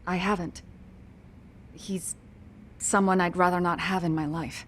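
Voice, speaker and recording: An actress playing a guarded mother with a slightly husky voice, politely prickly and restrained in a video game cutscene. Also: fairly low pitch, fairly even intonation, a little slow, a little hiss or hum, close to an everyday voice. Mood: polite, defensive. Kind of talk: English-dubbed video game cutscene acting.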